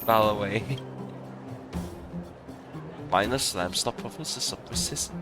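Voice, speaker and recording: Guy doing casual game commentary, slow pace, muttered asides, music behind. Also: lowish voice. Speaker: gamer narrating his playthrough.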